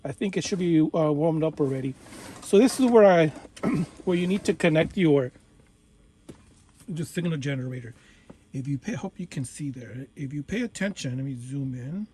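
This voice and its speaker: Higher-voiced man giving a hands-on tutorial. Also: expressive, mellow, slight background noise. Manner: instructional, halting step-by-step phrasing. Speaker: tutorial presenter, radio hobbyist. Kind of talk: informal hands-on demonstration.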